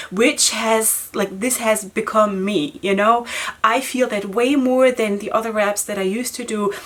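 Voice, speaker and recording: A woman in her thirties, a native German speaker, chatting to camera in a beauty vlog, reflective and laughing often. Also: a little high. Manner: chatty, self-reflective, laughs often, repeats words for emphasis. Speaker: beauty vlogger. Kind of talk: casual talk to camera. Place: decorated home studio with flowers and chandelier. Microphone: camera framed at head and shoulders.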